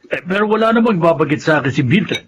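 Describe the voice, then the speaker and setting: A man's voice: a little high, expressive, quick pace, natural voice. A man playing a fair-minded, middle-aged father in a Filipino melodrama, musing and questioning. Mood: musing, concerned. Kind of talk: Filipino radio-style melodrama acting. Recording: dull lo-fi audio, loud noise, clicks.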